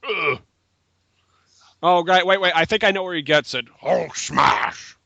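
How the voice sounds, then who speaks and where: A man's voice: high-pitched, unhurried, vocal fry, dry recording, dull audio. A man bantering casually as a co-commentator in an amateur reading, with a mocking, joking manner. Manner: mocking, jokey, animated.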